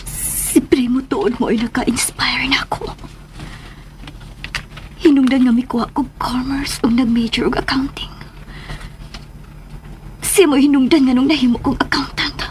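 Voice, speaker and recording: A woman's voice: sits high, fairly even intonation, unhurried, long pauses, slight background noise. An actress playing a feisty, easily annoyed young woman accountant in a romantic radio drama. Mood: wistful.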